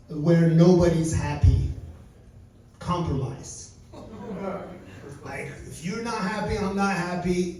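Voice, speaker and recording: Man, forties, stand-up comedian, dry wry delivery, high-pitched, heavy room echo. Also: dark tone, distant mic. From Turkey. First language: Turkish. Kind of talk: stand-up set. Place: comedy lounge stage. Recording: echoey lounge, mic from afar.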